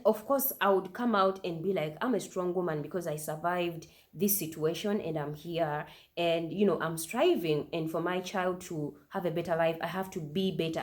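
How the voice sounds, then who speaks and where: Woman, slightly low voice, reaction host, opinionated, incredulous questions. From Uganda. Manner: animated, incredulous rhetorical questions. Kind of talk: opinionated commentary.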